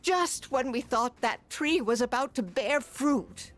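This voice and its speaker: A woman voicing a gentle old wife and mother in a video game. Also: sits high, expressive, takes it slowly, soft background music, exaggerated voice. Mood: distressed. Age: older.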